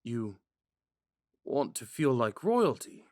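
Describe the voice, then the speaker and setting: A man playing a kind, shy young prince in a romantic ASMR roleplay, speaking gently and hesitantly. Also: mid-range voice, big pitch swings, slow, no echo, studio quality. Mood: gentle, curious. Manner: soft-spoken, hesitant, stammering when flustered. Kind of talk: ASMR roleplay, scripted monologue to a listener.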